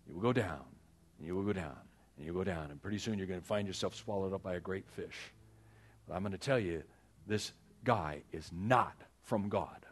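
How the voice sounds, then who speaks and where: A man preaching as a pastor, earnest and exhorting, with dramatic pauses, repeated phrases and a husky voice. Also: low voice. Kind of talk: sermon with personal stories and prayer.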